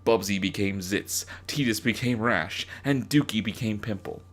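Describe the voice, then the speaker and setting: A man's voice: lowish voice, moderate pace, fairly bright tone. A young adult man doing a comedic dramatic reading as narrator, sarcastic and crude, with theatrical emphasis. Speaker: narrator reading own fanfiction.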